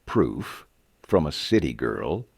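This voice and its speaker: A man's voice: a bass voice, big pitch swings, slow, vocal fry, darkish tone, slightly rough, no echo, clean studio sound, exaggerated voice. A man voicing a smug, corrupt sheriff in a Western story, with a folksy and menacing manner. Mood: mocking. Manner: folksy, taunting, turning to spitting commands.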